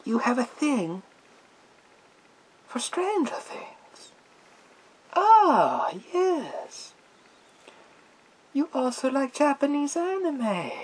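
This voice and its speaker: A man playing a sinister psychic mind-reading soldier in a gas mask, in a theatrical, drawn-out, whispered ASMR roleplay. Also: very high-pitched, wildly swooping pitch, a little hiss or hum, voice pushed up, full character voice, rough recording. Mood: probing, theatrical. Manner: theatrical, slow, drawn-out, repetitive phrases.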